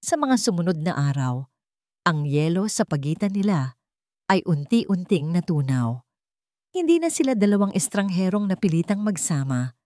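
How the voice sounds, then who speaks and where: Female narrator, lowish register, scripted dramatic storytelling, very dry sound. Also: big pitch swings, moderate pace, dark tone, no echo.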